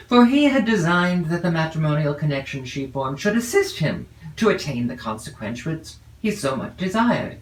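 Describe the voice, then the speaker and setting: A woman reading a classic novel as an audiobook narrator, in a measured, formal way with deliberate phrasing. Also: sits low. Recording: roomy, echoey home recording.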